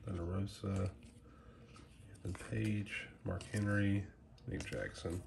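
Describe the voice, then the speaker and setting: Low nasal guy with a head cold rambling over loud crinkly wrappers. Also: takes it slowly, gravelly, noisy background. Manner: relaxed, rambling, reads names off cards. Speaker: card collector unboxing trading cards. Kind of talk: casual unboxing commentary. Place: indoors at a small table, close to the camera.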